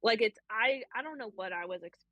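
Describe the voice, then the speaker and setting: A woman's voice: on the high side, lively intonation, fairly quick, no echo, highs cut off. A young woman co-hosting a casual book club chat, rambling and self-deprecating with frequent laughs. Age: young adult.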